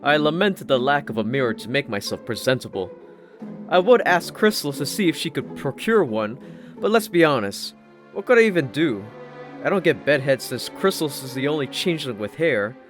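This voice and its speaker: A man's voice: medium pitch, sing-song, fairly quick. A man reading a scripted first-person story as an audiobook narrator. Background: background music.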